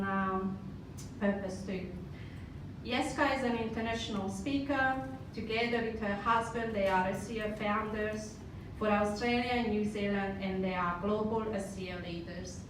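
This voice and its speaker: A woman with a Hungarian accent haltingly reads out an introduction for a guest speaker as an event host. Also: mid-range voice, steady tone. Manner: halting, stumbling reading. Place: roomy live event venue. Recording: echoey, distant, noisy room recording.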